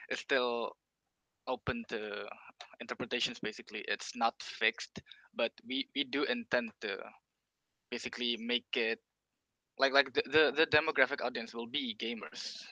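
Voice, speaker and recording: A young man gives an informal student pitch in a bright, medium-pitched voice. He moves haltingly, repeating words while he looks for the next phrase. The sound is dry, with little room around it. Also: no room sound, poor audio. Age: young adult. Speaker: student presenting a startup pitch. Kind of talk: informal class presentation.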